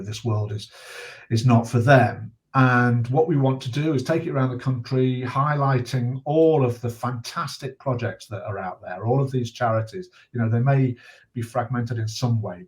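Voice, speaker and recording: A man in his sixties with a fairly low voice talks earnestly as an interview guest. He is measured and reflective, often pausing to find the next phrase, in the manner of a retired journalist now campaigning for a cause. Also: a little mellow.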